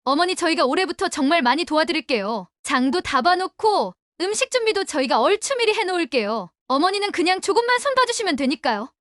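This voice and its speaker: A woman's voice: extremely high pitch, very animated, a little fast, hardly any pauses, a bit bright, no echo, clean studio sound, exaggerated voice. A woman playing a hurt, pleading younger woman of a family, polite and plaintive, in scripted audio drama.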